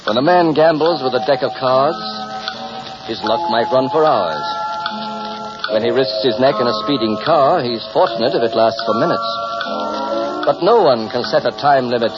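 An actor playing an ominous, moralizing narrator of crime tales, speaking in measured old-time radio style. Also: male voice, mid-range voice, animated, lightly acted. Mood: ominous, solemn. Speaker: host narrator. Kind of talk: 1940s-50s radio drama narration. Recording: muffled old radio recording. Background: loud music.

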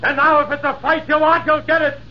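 An actor playing a scheming, cowardly officer with a shrill, whining manner, in 1940s radio acting on a dull old recording. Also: male voice, little pitch movement, fairly quick. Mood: defiant. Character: scheming cowardly officer, boastful then begging.

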